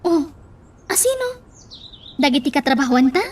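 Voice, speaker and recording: A woman's voice: high-pitched, sing-song, moderate pace, far from the mic. A woman playing a playful, teasing friend in a radio drama.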